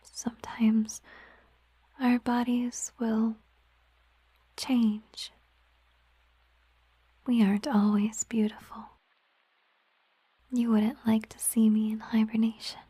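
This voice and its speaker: A woman plays a gentle siren girlfriend in an intimate ASMR roleplay. Her voice is a breathy, mellow whisper, slow and softly musing. It is recorded close and dry. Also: a little high, little pitch movement, no room sound, low-quality recording. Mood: soft, wistful. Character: gentle, affectionate female siren, a mermaid girlfriend. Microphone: close microphone, dry sound.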